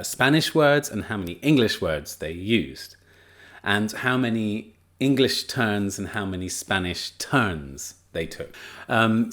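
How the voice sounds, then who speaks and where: A man presenting a research study in a casual spoken lecture, chatty and enthusiastic, with frequent fillers and restarts. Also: lowish voice. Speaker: teacher trainer presenting a study.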